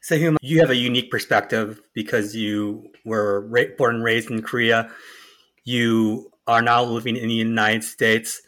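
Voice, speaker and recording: A man hosting a discussion who puts measured, friendly questions to a guest. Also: mid-range voice, fairly even intonation, fairly bright tone. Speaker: host. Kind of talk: interview questions.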